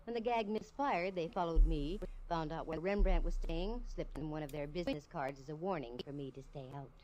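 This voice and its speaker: Woman doing a slangy lady private eye telling the case, lowish voice, hissy old radio recording. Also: fairly quick, natural voice. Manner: breezy, slangy storytelling. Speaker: private detective narrator. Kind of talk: 1950s radio drama narration.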